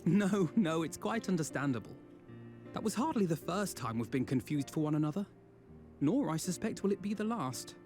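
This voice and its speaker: A man's voice: a little high, lively intonation, moderate pace, a little mellow, faint music behind, close to an everyday voice. A man playing an earnest, formal and eloquent young nobleman in a fantasy game. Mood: courteous. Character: earnest, courteous, well-spoken young nobleman.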